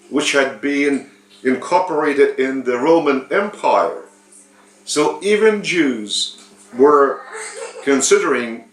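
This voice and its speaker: Lithuanian guy preaching real slow, lots of ums, roomy echo. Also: medium pitch, a bit bright. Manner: slow, halting, with frequent pauses and fillers. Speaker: spiritual teacher. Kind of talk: unscripted sermon.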